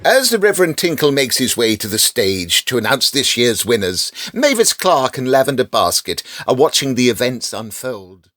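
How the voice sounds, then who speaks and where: Animated English man narrating a radio drama. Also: medium pitch, moderate pace, hardly any pauses. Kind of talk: dramatic scripted comic narration.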